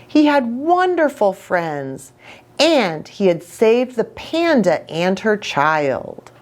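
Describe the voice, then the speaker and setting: A woman's voice: mid-range voice, darkish tone. A woman reading a children's story aloud expressively, adding little asides for young listeners. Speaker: children's storybook reader, pastor.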